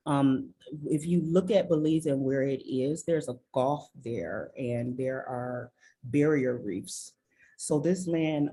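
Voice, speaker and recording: A woman from Michigan telling her story as an interview guest, slow and earnest, with frequent ums and restarts over a video call. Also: extremely low pitch, warm tone, low-quality recording. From Michigan, USA. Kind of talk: reflective personal storytelling. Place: rural village in southern Belize.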